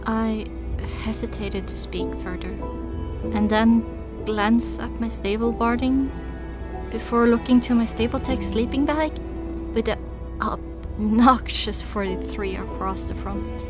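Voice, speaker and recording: A woman with a fairly high voice narrates a dramatic first-person audiobook. Her phrasing is deliberate and expressive, with pauses, and music plays clearly behind her.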